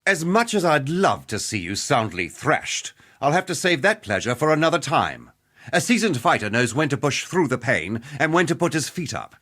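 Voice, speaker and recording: A man's voice: medium pitch, fairly bright tone. A man playing a cocky, playful veteran fighter in a video game, jaunty and teasing, with relish for a brawl. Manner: jaunty, teasing, colloquial swagger. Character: cocky, playful veteran male arena fighter, jovially bloodthirsty. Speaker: video game character voice actor. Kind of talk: scripted game character dialogue.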